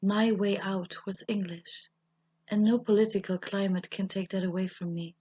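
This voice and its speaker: A woman with a German accent, a native German speaker, reads a literary personal essay in a measured, solemn, husky and slightly breathy voice. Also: mid-range voice, steady tone, warm tone, dull audio. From German-speaking Europe. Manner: measured, solemn, deliberate phrasing with pauses. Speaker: writer reading her own essay.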